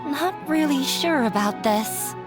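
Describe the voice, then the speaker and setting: Jittery, self-doubting young game character: high voice, slow halting delivery, flustered, music underneath. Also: female voice, expressive. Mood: anxious, self-doubting. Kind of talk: video game voice acting. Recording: music behind the voice.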